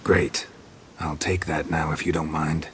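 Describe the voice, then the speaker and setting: A man playing a gruff, weary monster hunter in a fantasy game, speaking in a terse, deadpan way. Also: rumbling low, a little slow. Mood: terse, dry. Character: gruff, dry, weary male monster-hunting witcher for hire. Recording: game audio with clear ambient noise.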